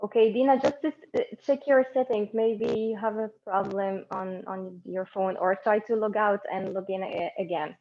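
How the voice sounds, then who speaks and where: A woman's voice: mid-range voice, moderate pace, hardly any pauses. Lady teaching english online, lots of ums and uhs, kinda dull stream audio. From Egypt. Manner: relaxed and encouraging, with many fillers and restarts. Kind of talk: live online conversation class. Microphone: laptop or phone mic on a video call.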